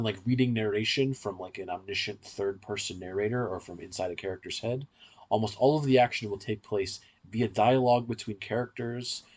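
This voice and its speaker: A man's voice: fairly low pitch, husky. A man hosting a podcast, explaining things at length in a conversational discussion.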